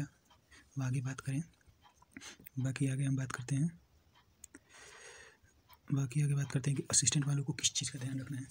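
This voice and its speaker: A young man with a husky voice, talking informally through an exam review, thinking aloud, repeating himself and dropping in English words. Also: mid-range voice, a little mellow, a little hiss or hum. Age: young adult. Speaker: exam candidate giving paper analysis and advice.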